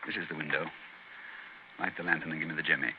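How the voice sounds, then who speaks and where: An actor playing a commanding, confident master detective with a clipped, imperious, theatrical manner in an old-time radio drama. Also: male voice, a bass voice, level tone, fast talker. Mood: brisk, urgent. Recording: tinny, lightly noisy old radio recording.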